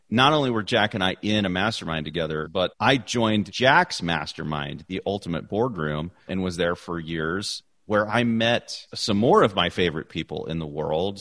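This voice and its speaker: Middle-aged male host, very low voice, warm chatty praise, repeats himself. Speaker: podcast host. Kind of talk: casual interview chat.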